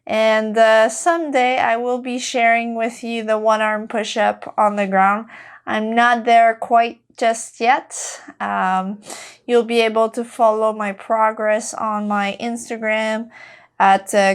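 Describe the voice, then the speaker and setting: A woman with a Quebec French accent, a fitness coach guiding a mobility workout in a relaxed, halting way with many fillers. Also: on the high side, smooth. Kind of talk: casual workout instruction.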